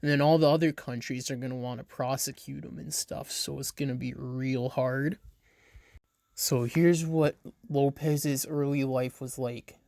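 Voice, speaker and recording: A man hosting a true crime podcast, reading a script haltingly, stumbling over names and adding blunt, swearing asides. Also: medium pitch, darkish tone, dry recording, clean studio sound.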